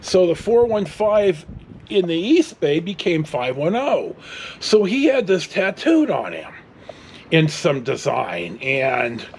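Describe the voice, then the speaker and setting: A man's voice: high-pitched, a little hiss or hum. A middle-aged American man tells rambling casual stories in a blunt, salty way. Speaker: storyteller vlogger.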